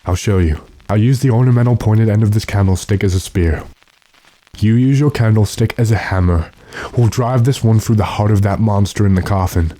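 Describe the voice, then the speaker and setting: A man playing a calm, commanding gentleman vampire hunter in a radio horror drama, deliberate and authoritative. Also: lowish voice, dry recording, close to an everyday voice. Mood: grimly resolute.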